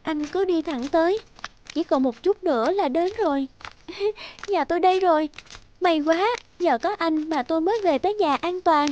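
An actress playing a sly fox demon in the guise of a young maiden, coaxing and melodramatic, in a children's cartoon. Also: female voice, very high-pitched, normal speed, a little mellow, lo-fi sound, a little hiss or hum. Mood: sweetly coaxing. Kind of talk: children's fairy-tale cartoon dubbing.

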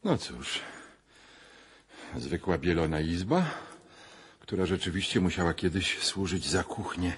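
A condescending gentleman in a castle radio play. His low voice swings in melody and is very husky, with a dismissive, musing air of light amusement. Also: lively intonation, unhurried, pauses often, heavily acted. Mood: brisk, musing. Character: sceptical, condescending gentleman exploring a haunted castle.

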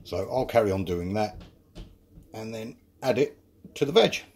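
A man's voice: fairly low pitch, expressive, measured pace, a little mellow. Chatty man in a kitchen. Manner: chatty, self-mocking, explains each step. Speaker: home cook. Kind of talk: casual cooking narration. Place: small home kitchen.

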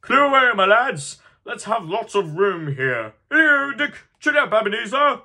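A man voicing a jovial, fat old male merchant who calls out loudly and merrily. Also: big pitch swings, slow pace, full character voice. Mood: jovial shouting. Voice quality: loud, booming calls. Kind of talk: reading a classic novel aloud.

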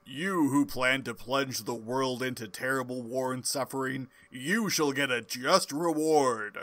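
A man's voice: mid-range voice, measured pace, bright, forceful tone, no echo. A man voicing a solemn comic-book superhero pronouncing judgment in a declamatory way. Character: stern, booming male superhero pronouncing judgment.

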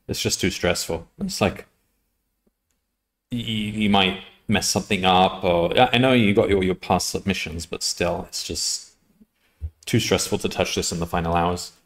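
A man's voice: low voice, fairly even intonation, moderate pace, fairly bright tone. A man in his thirties chatting casually on a live coding stream at his desk, rambling and correcting himself, often laughing. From United Kingdom. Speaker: coding livestreamer. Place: home room at a desk.

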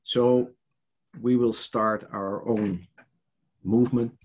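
Older Dutch-accented man, hesitant church-leader guest on a headset video call, husky and unhurried. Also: lowish voice, fairly even intonation, a little mellow. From Netherlands. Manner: hesitant, many fillers and restarts. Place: home study with bookshelves.

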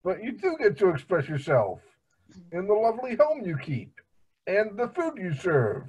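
Dim, childish middle-aged cartoon husband played by a man, husky and swinging in pitch. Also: wildly swooping pitch, moderate pace, a little mellow, highs cut off, heavily acted. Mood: whiny, pleading. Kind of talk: amateur cartoon table read. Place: home room, on a video call.

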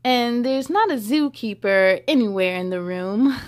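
A young woman hosting a children's story time, reading a picture book expressively with drawn-out emphasis and chatty asides. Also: on the high side. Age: young adult. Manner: animated, drawn-out emphasis on rhymes.

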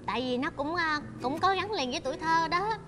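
A woman in her twenties with a southern Vietnamese accent, telling stories in casual, excitable and expressive chat. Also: extremely high pitch, fairly quick, music in the background. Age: 20s. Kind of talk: casual animated chat, reminiscing. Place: indoor talk show set styled as an old shop.

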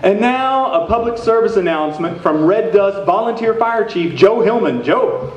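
A man as a high-pitched small-town radio announcer. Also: animated, moderate pace, faint music behind. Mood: upbeat, announcing. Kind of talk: scripted radio announcing, live comedy. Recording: echoey, dull theater recording.

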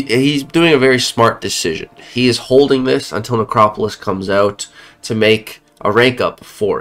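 A man's voice: on the low side, a bit bright. A young man giving casual commentary on a mobile game, sounding a bit off because he is sick, rambling and correcting himself as he talks into a headset at home. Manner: chatty, rambling, self-correcting. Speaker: mobile game content creator. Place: home room, indoors.

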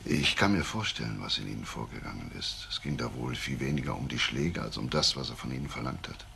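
An actor playing an understanding police investigator in a crime radio play, with a gravelly voice and measured questioning. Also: male voice, extremely low pitch, expressive, sharp tone, slight background noise, exaggerated voice. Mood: sympathetic, probing.

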